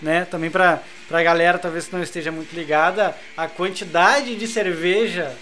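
Bright-voiced man, animated chat. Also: high-pitched, moderate pace, faint music behind. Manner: animated, explains the game rules to listeners. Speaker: podcast co-host. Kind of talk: casual chat over beer.

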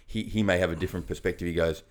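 Deep-voiced male podcast co-host, relaxed and reflective. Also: very fast. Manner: conversational, reflective, drops into quoted voices. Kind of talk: casual chat.

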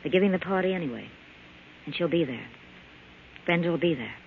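An actress playing a hard, vengeful jilted club boss in a 1940s radio crime drama, curt and commanding. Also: female voice, on the low side, animated, a little fast. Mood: cold, menacing. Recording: muffled, faintly noisy old radio recording.

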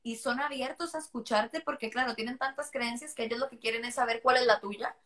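A woman's voice: a little high, fairly quick, fairly bright tone. A woman from Costa Rica with a mixed Costa Rican and Spanish accent, chatting casually and animatedly about her missionary work. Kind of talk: casual conversation.

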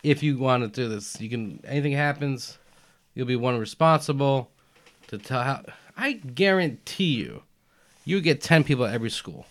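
A young man in casual conversation, emphatic and animated, dropping "man" and "dude" as he argues his point. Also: mid-range voice, normal speed. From United States, family from Albania. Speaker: podcast guest. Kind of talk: casual chat.

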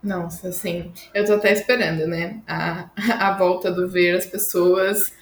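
A woman's voice: lowish voice, steady tone, a little slow. Female Brazilian podcast co-host, informal chat, echoey room with faint noise behind. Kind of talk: casual chat.

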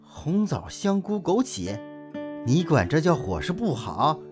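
A woman's voice: low voice, sing-song, unhurried, music in the background. A woman playing a brash, bossy, teasing best friend in an audio drama, cheeky and mock-boastful in slangy talk. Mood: playful, brash. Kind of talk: modern radio drama acting.

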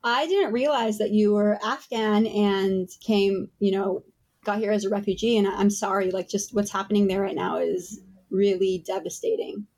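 A woman's voice: mid-range voice, steady tone, moderate pace, a little mellow. A woman hosting a podcast interview, chatting in an upbeat, friendly way. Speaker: podcast host. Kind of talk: casual interview chat.

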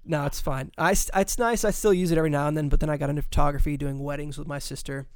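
Easygoing man, a bit high-pitched. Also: steady tone, quick pace, clear, resonant tone, dry recording, clean studio sound. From Central Florida. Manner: easygoing and self-deprecating. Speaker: guest. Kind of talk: casual chat.

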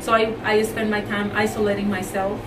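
A woman's voice: mid-range voice, level tone, a little fast. A woman from Mexico in her thirties who speaks English with a Spanish accent and is a guest on an interview. She talks about herself in a chatty way, laughs often, and sometimes searches for words. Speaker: guest, entrepreneur and mother. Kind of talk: casual interview talk. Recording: echoey room, mic at a distance, background noise.

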